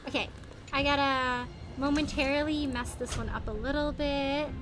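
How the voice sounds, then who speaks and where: A woman's voice: high voice, fairly even intonation, normal speed. A woman live-streaming a game, chatting casually to viewers and thinking aloud in a playful, exclamatory way. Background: music behind the voice.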